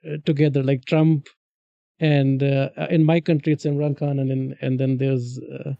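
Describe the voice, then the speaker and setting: A man hosting a livestream in casual conversation, speaking in a hesitant, halting way. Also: mid-range voice, little pitch movement, gravelly, warm tone, no echo, dull audio. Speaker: livestream host. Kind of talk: casual chat.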